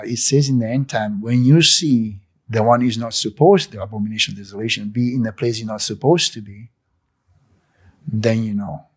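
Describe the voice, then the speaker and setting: A man's voice: on the low side, slightly rough. A man in his sixties whose first language is not English, speaking emphatically and preachily in an interview in a living room into a handheld mic. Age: 60s. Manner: preachy, emphatic, gestures with hands. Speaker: cafe owner interviewed. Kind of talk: impassioned interview monologue. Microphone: handheld fluffy interview mic.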